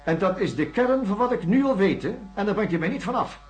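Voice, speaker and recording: An actor playing a stern, sarcastic research doctor in a science fiction radio play, with a curt, formal and forceful way of talking. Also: male voice, sits high, expressive, fairly quick, slightly rough, soft background music. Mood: angry, accusing.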